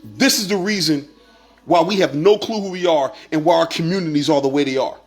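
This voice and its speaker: A man's voice: fairly high pitch, fairly quick, breathy edge. Fired-up man preaching to viewers. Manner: preachy, repetitive, rising to shouts. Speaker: online commentator. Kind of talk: impassioned monologue to viewers.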